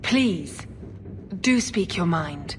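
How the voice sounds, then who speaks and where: A woman playing a haughty, scheming religious sister in a video game, with a clipped, condescending, measured delivery. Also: fairly low pitch, expressive, soft background music, full character voice. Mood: cool, defensive. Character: haughty, scheming Chantry sister, coolly calculating. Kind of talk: video game cutscene acting. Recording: long hall reverb, clear background noise.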